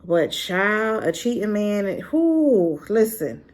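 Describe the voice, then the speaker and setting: A divorced adult woman giving a sassy, animated casual review straight to her viewers. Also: medium pitch, takes it slowly. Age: adult, been married and divorced. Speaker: drama reviewer.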